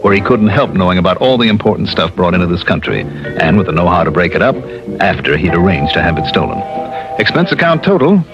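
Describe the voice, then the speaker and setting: An actor playing a cool, wisecracking investigator hero in an old-time radio detective drama, with a casual, self-assured delivery. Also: male voice, low-pitched, very animated, fast, music in the background. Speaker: lead actor and narrator. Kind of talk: 1950s-60s radio detective acting. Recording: dull, hissy old radio broadcast.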